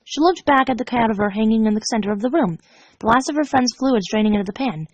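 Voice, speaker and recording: High-pitched, expressive woman narrator. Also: fast talker, dry recording, highs cut off. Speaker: fan fiction reader. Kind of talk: scripted storybook narration.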